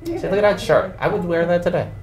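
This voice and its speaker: A man's voice: high-pitched, fast talker, crowd noise. A man in his forties hosting a podcast, chatting casually and rambling, often breaking off mid-sentence. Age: 40s. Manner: rambling, self-interrupting, laughs at himself. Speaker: podcast host. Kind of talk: casual chat.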